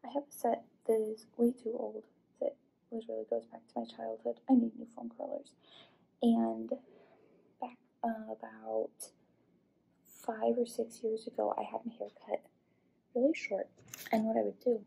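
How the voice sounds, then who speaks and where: A woman in her twenties from the American Midwest, chatting casually through a vintage hair tutorial in a small room, with low whispery asides and quiet audio. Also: little pitch movement, normal speed, vocal fry, slightly rough, rough recording. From Midwest US, Illinois.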